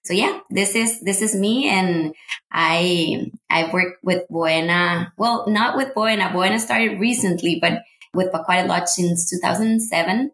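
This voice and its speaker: Woman, Costa Rican accent, lowish voice, echoey room, friendly, word-repeating. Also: measured pace, a bit bright. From Costa Rica. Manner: friendly, repeats words while searching for them. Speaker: sustainability manager, guest. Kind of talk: conversational interview answers.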